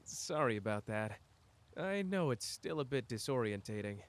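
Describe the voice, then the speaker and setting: A man acts out a powerful male sorcerer with a cocky surface and a wounded core. He speaks at a middling male pitch in an intimate audio drama, casual and conversational. His teasing delivery is aimed straight at one listener, with pauses between thoughts. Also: expressive, close to an everyday voice. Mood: calm, serious.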